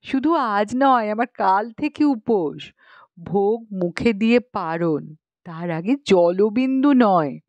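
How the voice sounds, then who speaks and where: A woman's voice: medium pitch, expressive, slow, no room sound, muffled recording. A woman voicing a pious, chatty young village matron in an audiobook, explaining things knowingly in colloquial rural Bengali speech with exclamations. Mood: devout, earnest. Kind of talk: audiobook character dialogue.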